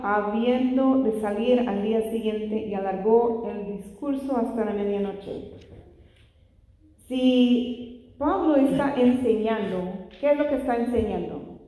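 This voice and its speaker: A woman's voice: fairly high pitch, dark tone. A woman whose first language is not Spanish, leading a church Bible study, asking the congregation questions and breaking in often with praise. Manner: frequent "Gloria al Señor" interjections, asks the congregation questions. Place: church hall. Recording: echoey hall with long reverb.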